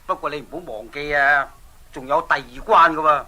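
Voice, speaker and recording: A man's voice: a little high, steady tone, sharp tone. A man with a Hong Kong accent playing a scheming, menacing king in a children's radio drama, with mocking, commanding delivery. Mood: sly. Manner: mocking, drawn-out threats.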